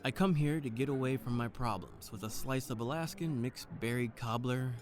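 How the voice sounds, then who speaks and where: A man's voice: mid-range voice, expressive, normal speed, lightly acted. A man acting an earnest private detective, narrating in brooding noir style for an audio drama. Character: earnest, wounded, soft-hearted male private detective.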